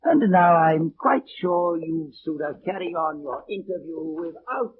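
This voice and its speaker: An actor playing a sly, villainous old aristocratic uncle in a theatrical, mock-courteous style of old radio drama. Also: male voice, a little high, lively intonation, unhurried. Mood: smug. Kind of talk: 1940s-1950s radio drama acting. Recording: muffled, hissy old radio recording.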